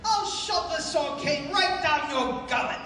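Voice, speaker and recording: An actor playing a haughty, waspish dapper lawyer in 1930s radio style, pompous and taunting. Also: male voice, very high-pitched, unhurried, sharp tone, a little hiss or hum, heavily acted. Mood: smugly taunting. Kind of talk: 1930s radio adventure acting. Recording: echoey hall, distant mic.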